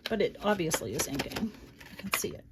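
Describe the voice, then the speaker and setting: Boston lady chatting thru a craft project, lowish voice, clicky crafting noise behind. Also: animated, brisk. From Boston area, USA. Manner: chatty, thinks aloud, drops into quiet asides. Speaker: crafting channel host. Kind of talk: casual crafting tutorial chat.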